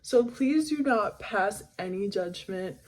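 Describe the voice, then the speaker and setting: A woman's voice: medium pitch, expressive, slow, darkish tone, low-quality recording. Candid young woman vlogger, chatting casually. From the Caribbean. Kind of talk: casual chatty personal vlog.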